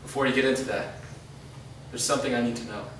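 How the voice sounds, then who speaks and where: A man's voice: medium pitch, steady tone, fast, fairly bright tone, lightly acted. A man playing a troubled, questioning grown son in a staged reading of a drama. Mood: insistent, troubled. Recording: echoey room, distant mic, background noise.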